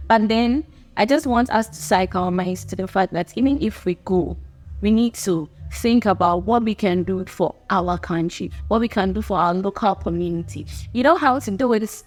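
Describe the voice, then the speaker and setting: A young woman from Ghana speaks with a Ghanaian accent in a middle-pitched voice. She hosts her own channel, talking earnestly and loosely to camera. She repeats her points and laughs lightly between them. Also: a little mellow. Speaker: channel host. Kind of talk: unscripted talk to camera.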